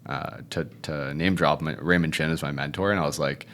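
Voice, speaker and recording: Young male guest, Cantonese first language, extremely low voice, loose and chatty delivery. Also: brisk, a little hiss or hum. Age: 20s. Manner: relaxed, rambling, fond of the tag "if you will, right?". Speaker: podcast guest, realtor. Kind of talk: casual interview chat.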